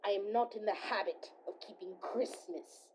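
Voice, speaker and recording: Woman as husky, dour businessman. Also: medium pitch, normal speed, darkish tone, close to an everyday voice, low-quality recording. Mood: cold, disdainful. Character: stern, dour businessman Scrooge, coldly dismissive. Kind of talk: radio play acting.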